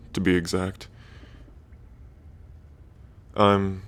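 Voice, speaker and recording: A man playing a lonely ancient dragon king in roleplay ASMR, proud yet bashful, with halting, deliberate phrasing. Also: sits low. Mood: lonely, confiding. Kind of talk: roleplay ASMR audio drama.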